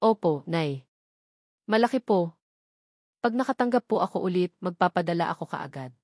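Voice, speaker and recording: A woman voicing an earnest young female teacher in a storybook, respectful and gentle. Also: on the low side, expressive, moderate pace, no room sound, clean studio sound. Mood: reassuring.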